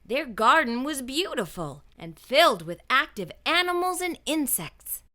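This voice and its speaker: A woman narrates a children's picture book in a high, bright voice. Her delivery is expressive, with lively rises and falls suited to young listeners. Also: unhurried.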